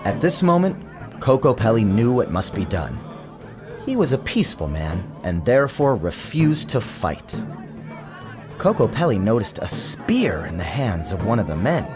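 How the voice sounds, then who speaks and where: A man's voice: lowish voice, moderate pace, a crowd in the background. A man giving a dramatic, theatrical scripted narration of a storybook, with music behind him. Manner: theatrical, expressive. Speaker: author narrating his storybook.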